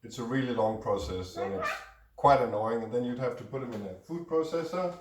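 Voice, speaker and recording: Hoarse man cooking in a roomy kitchen. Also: mid-range voice, a little fast. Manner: chatty, talks while mixing food. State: hoarse and congested. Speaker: cooking show host. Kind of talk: casual cooking demonstration. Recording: roomy echo, distant mic, faint noise.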